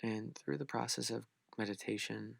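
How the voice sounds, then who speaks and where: A man leading a beginners' guided meditation, speaking gently and unhurriedly with long pauses. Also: fairly low pitch, fairly even intonation, gravelly, no echo.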